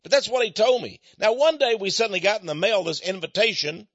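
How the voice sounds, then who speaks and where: A man's voice: high-pitched, quick pace, no room sound, lo-fi sound. An American man giving a rambling personal monologue to his listeners, folksy and digressive, with frequent chuckles. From United States. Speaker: speaker addressing listeners.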